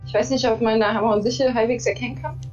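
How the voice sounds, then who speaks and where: A woman's voice: fairly high pitch, fairly even intonation, very fast, darkish tone, breathy edge, faint music behind. Female co-host, 30s, from Saxony; a thoughtful explainer heard through a muffled call. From Saxony, Germany. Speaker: political scientist co-host. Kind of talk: casual livestream chat. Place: home room.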